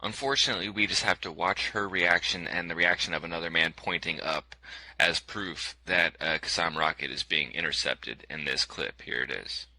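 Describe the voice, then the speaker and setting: A man's voice: deep voice, moderate pace, bright, forceful tone, no echo, poor audio. A man giving a scripted commentary over video clips in a sarcastic, skeptical tone, with frequent fillers.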